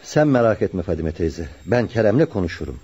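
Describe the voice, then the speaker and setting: An actor playing a kind, respectful young friend in a radio play, courteous and reassuring. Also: male voice, sits low, very animated, brisk, dark tone, breathy edge, exaggerated voice. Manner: courteous, hospitable, soothing. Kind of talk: Turkish radio theatre acting. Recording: dull lo-fi radio drama recording.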